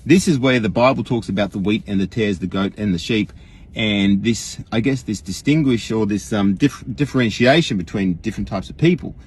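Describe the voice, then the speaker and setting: An Australian man imitating an earnest, rambling online guru in a folksy, emphatic livestream monologue, with a husky voice. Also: lowish voice, a little fast, darkish tone. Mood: earnest, preachy. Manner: folksy, emphatic, rhetorical questions, frequent chuckles.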